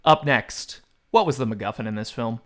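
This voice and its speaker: A man's voice: mid-range voice, moderate pace, a bit bright. A man hosting a podcast film quiz with joking banter and a mock-formal quizmaster delivery. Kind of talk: comic film trivia banter.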